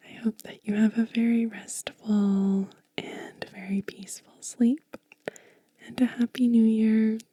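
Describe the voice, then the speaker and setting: Female ASMR host, hushed breathy whisper, very dark soft tone, gentle unhurried show-and-tell, close and dry. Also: a little high, flat delivery, no echo.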